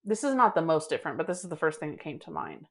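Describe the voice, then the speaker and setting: A young woman co-hosting a podcast, talking in a chill, calm, monotone way in casual chat with a friend. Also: low voice, rapid-fire, husky. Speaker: podcast co-host.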